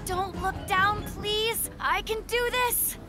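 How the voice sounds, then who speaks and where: A woman's voice: very high voice, slow. A woman voicing a cautious boy polar bear cub who is afraid of heights, hesitant and nervous. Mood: panicked. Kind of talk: cartoon voice acting. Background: music.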